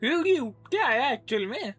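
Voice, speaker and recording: A game streamer with an Indian accent, tossing quick, teasing reactions over gameplay. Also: sits high, very animated, fairly bright tone, husky.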